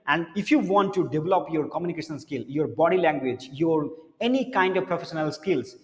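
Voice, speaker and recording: High-voiced man teaching in an echoey room. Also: lively intonation, a little fast, darkish tone. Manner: earnest, repeats words, drops voice low for serious points. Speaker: spoken English teacher. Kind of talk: motivational lesson to camera. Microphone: camera mic, speaking to camera. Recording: echoey room with long reverb.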